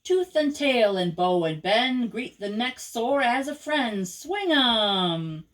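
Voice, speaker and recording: Older woman reading slowly to children. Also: on the high side, expressive. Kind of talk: read-aloud children's verse storytelling.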